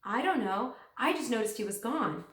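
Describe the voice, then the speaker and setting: A woman's voice: a little high, animated, normal speed, voice pushed up, close to an everyday voice. A woman voicing an earnest, doubtful boy of about nine from a children's storybook, in a questioning, mildly complaining way. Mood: concerned. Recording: roomy echo, distant microphone.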